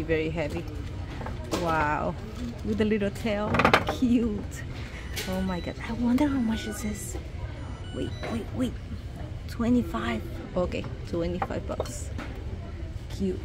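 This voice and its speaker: A young woman chatting casually and excitedly to her phone camera while shopping and then eating out. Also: mid-range voice. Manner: chatty, excited, exclaims over cute things. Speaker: vlogger. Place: busy store and fast-food restaurant. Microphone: handheld phone camera. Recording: noisy public place ambience.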